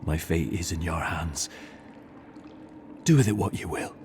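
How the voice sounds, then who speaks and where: Deep-voiced, solemn repentant assassin. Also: male voice, a little slow, darkish tone, slight background noise. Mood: grave, earnest. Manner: grave, earnest, formal oratory. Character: solemn honorable repentant male assassin, devout zealot. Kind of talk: dramatic video game dialogue. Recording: game music behind the voice. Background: music.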